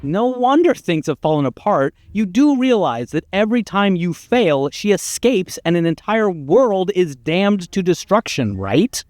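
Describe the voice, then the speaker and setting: A man's voice: high-pitched, big pitch swings, a little slow, hardly any pauses, darkish tone, dry recording. An actor playing a stern, exasperated game narrator, sardonic and scolding as he tells the story theatrically. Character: stern, exasperated male narrator guiding the hero.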